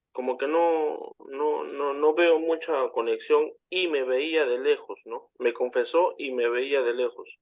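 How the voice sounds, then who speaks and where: A man in a writing class speaks at a middle pitch, polite and a little unsure. He offers his corrections carefully and calls the teacher "doctor". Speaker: student. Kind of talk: classroom discussion.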